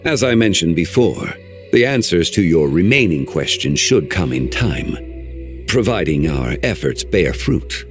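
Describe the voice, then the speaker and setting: A man voicing an ancient male guardian in a video game, speaking in a formal, measured, old-fashioned way. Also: sits low, very animated, soft background music. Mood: grave.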